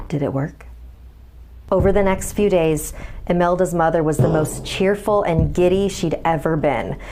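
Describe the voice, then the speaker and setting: A woman's voice: low-pitched, background noise. A woman reading a scripted horror story as its narrator, with music behind her.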